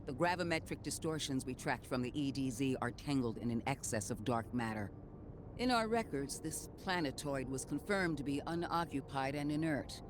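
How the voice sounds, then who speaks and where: A man's voice: mid-range voice, normal speed, noisy background, close to an everyday voice. An actor playing an earnest, scholarly male mission guide in a video game, articulate, analytical and urgent. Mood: grave, concerned. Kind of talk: scripted video game dialogue.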